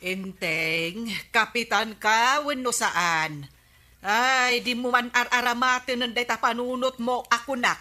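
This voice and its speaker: Sharp-tongued Ilocano wife, bright voice. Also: female voice, on the high side, fairly even intonation, takes it slowly. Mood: scolding. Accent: Filipino, Ilocano. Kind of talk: Ilocano radio comedy-drama acting.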